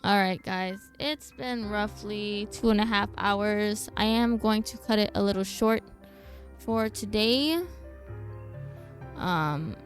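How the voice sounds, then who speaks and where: A woman's voice: medium pitch, creaky. A young adult woman casually chatting as a game livestreamer, playful and informal. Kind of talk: casual livestream chat over gameplay. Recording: background game music. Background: game music.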